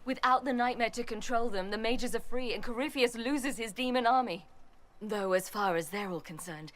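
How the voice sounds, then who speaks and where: A woman's voice: a little high, moderate pace, a little hiss or hum, lightly acted. An actress playing a principled, outspoken female fighter in a video game, speaking with earnest emphasis. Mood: wry, subdued. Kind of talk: dramatic video game cutscene acting.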